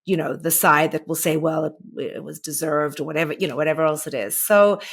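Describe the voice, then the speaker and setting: A woman co-hosts a podcast with a fairly low voice. She talks in a relaxed, casual way, pausing often and restarting while she searches for the right words. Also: breathy edge. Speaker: podcast co-host. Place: lives in the United States.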